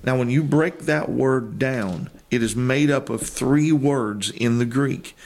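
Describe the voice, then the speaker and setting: A man, a holiness preacher, teaching on a Bible passage and explaining word meanings in a deliberate way. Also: medium pitch, a little mellow. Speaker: holiness preacher on another podcast. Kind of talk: teaching scripture on a podcast.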